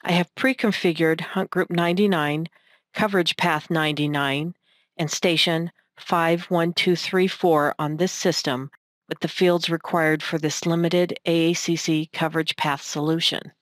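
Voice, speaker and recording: A woman reading scripted narration for a technical demonstration in a measured, instructional manner. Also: sits low, steady tone, no echo, clean studio sound. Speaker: software tutorial narrator.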